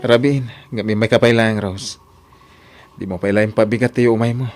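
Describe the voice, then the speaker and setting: A man's voice: on the low side, fairly even intonation, normal speed, over loud music, natural voice. An actor with an Ilocano accent playing an earnest, reserved young working man in a radio drama. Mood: weary, confiding.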